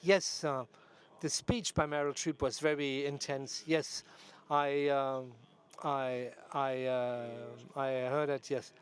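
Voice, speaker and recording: A man giving live interpretation of a debate, his phrasing halting and piecemeal. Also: medium pitch, flat delivery.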